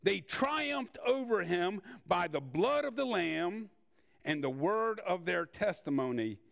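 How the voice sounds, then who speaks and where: Older preacher man, high creaky voice. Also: very animated, fairly bright tone. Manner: conversational storytelling with rhetorical questions and deliberate pauses. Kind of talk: sermon with personal anecdotes.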